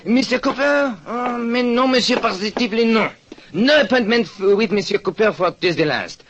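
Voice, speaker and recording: A man's voice: very high-pitched, normal speed, fairly bright tone, voice pushed up. A man voicing a fussy, emphatic French tailor with a French accent in an old radio detective drama. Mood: emphatic denial. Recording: muffled, noisy old radio recording.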